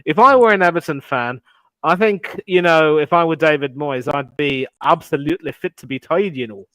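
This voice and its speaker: A man's voice: a little high, normal speed, fairly bright tone, dry recording, low-quality recording. A man co-hosting a casual football chat, rambling through opinions with lots of "you know" and "um".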